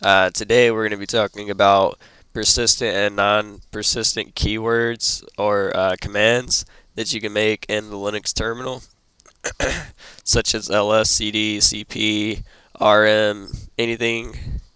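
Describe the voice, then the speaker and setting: A young man who is sick and losing his voice, hosting a casual computer tutorial and stopping often with ums and throat clearing. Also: lowish voice, level tone, measured pace, sharp tone, dry recording. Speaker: tutorial host. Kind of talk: casual screen-recorded tutorial.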